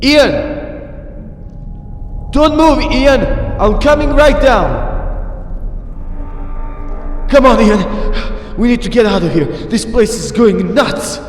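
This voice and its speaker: A man's voice: extremely high pitch, expressive, slow pace, long pauses, distant mic, exaggerated voice. A man playing a determined, protective wasteland rescuer in a game roleplay, urgent and earnest. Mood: frantic. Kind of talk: amateur video game roleplay acting. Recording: echoey hall reverb, faint music.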